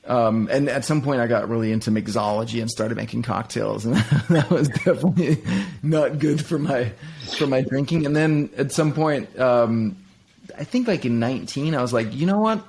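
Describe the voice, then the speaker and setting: A man's voice: mid-range voice, normal speed, a little mellow, breathy edge. A middle-aged man from Denmark, talking candidly as an interview guest, with frequent restarts and fillers as he reflects on his life. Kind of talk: reflective personal conversation.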